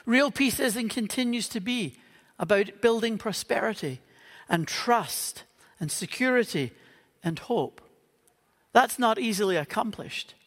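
High-voiced Northern Irish pastor preaching. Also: male voice, animated, unhurried, fairly bright tone, big-room sound. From Northern Ireland. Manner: earnest, with playful humorous asides. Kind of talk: Christmas Eve sermon. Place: church auditorium.

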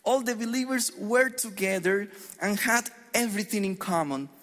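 High-voiced Colombian pastor in a reverberant hall. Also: male voice, fairly bright tone. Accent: Colombian Spanish. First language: Spanish. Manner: earnest, measured preaching with deliberate pauses on scripture. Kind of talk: sermon. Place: church hall.

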